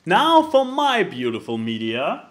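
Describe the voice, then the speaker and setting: A podcast co-host with a widely swooping, animated voice in a middle register. The delivery is casual and theatrically emphatic, with halting patches and stumbles over words. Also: mid-range voice. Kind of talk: casual chat, reading out and commenting.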